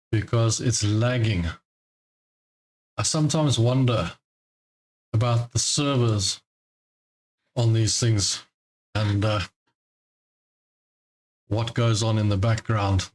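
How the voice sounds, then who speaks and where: A man born in Scotland who grew up in South Africa, hosting a live trading stream in relaxed, rambling chat and laughing often. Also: on the low side, low-quality recording. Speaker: trading livestream host. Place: home in Cape Town.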